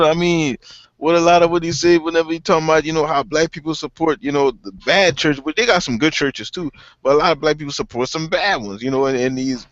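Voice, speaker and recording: A man's voice: fairly high pitch, no echo. A man arguing in a heated, profane casual debate, rambling and filling his talk with "you know what I'm saying.". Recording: muffled lo-fi audio.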